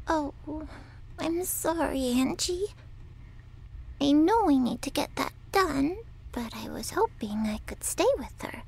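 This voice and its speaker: A sweet, submissive young woman caretaker played by a woman in an ASMR drama. Her high girlish voice moves slowly, coaxing and apologetic, with anxious devotion. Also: animated, vocal fry, darkish tone, full character voice, rough recording. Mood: meek, apologetic. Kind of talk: ASMR roleplay acting.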